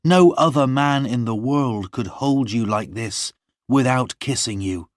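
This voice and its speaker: A man's voice: mid-range voice, measured pace, no echo, clean studio sound, pitched above the performer's own voice, lightly acted. A man voicing an earnest young male farmer in love in a storybook reading, with a lifted tone.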